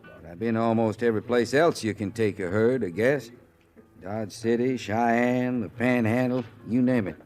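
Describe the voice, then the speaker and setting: An actor playing an easygoing, folksy cowboy trail hand in an old-time radio Western. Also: male voice, mid-range voice, moderate pace, darkish tone, faint music behind, natural voice. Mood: eager, easygoing.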